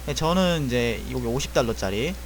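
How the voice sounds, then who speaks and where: Lively Korean man explaining airsoft parts. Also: mid-range voice, normal speed, slight background noise. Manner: casual, self-correcting, explains step by step. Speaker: airsoft repair tutor. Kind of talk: screen-recorded parts-shopping walkthrough.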